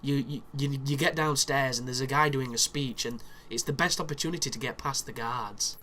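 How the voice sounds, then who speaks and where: A man's voice: medium pitch, steady tone, normal speed, sharp tone, a little hiss or hum. Chesty man narrating gameplay. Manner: chatty, explanatory, with frequent restarts and fillers. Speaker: video game walkthrough commentator. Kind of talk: casual gameplay commentary.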